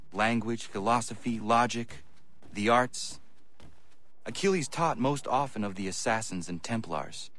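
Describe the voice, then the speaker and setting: An actor playing an earnest, solemn young apprentice in a video game, speaking in a formal, measured way. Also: male voice, on the low side, fairly bright tone. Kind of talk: dramatic game dialogue and solemn narration.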